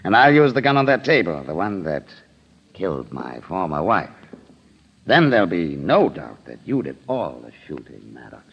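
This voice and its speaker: An actor playing a cold-blooded, smug, commanding gangster killer in an old-time radio crime drama. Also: male voice, low voice, big pitch swings, moderate pace, exaggerated voice. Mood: gloating menace. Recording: dull vintage radio recording.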